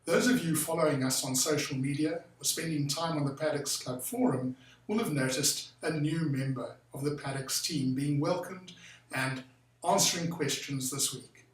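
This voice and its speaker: A man's voice: a little high, normal speed. A man hosting a formal, courteous interview with a new colleague. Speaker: interviewer, head of the firm. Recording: very echoey room, far from the mic.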